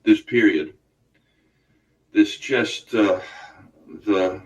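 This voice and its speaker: New york guy hosting a radio chat, lots of uhs and restarts, kinda far off, dull sound. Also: lowish voice. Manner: halting, many fillers and restarts. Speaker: radio host. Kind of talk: casual radio interview.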